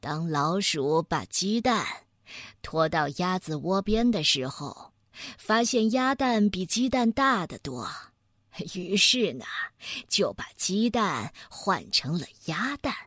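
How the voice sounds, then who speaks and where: A woman voicing a calm, methodical male bear scientist who explains things in an unhurried, deliberate way. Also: fairly low pitch, no echo, studio quality, pitched below the performer's own voice, exaggerated voice. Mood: calm, assured.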